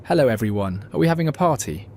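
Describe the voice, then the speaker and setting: Mellow, friendly but firm doctor played by a man. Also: mid-range voice, animated, quick pace, close to an everyday voice. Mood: cheerful. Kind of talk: fan audio drama acting. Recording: faint background noise.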